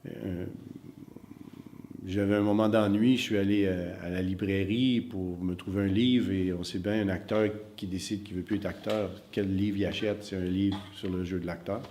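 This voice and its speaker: A middle-aged man with a very low voice. He is an acting teacher answering interview questions in a reflective way. He pauses often and repeats words as he hunts for the right phrase. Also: steady tone, dark tone, slight background noise. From Northern Ontario. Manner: hesitant, many fillers and repeated words.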